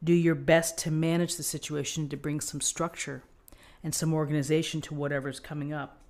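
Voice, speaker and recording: A woman giving an unscripted tarot reading, explaining things in a gently encouraging manner. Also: low voice, level tone, brisk. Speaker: tarot reader.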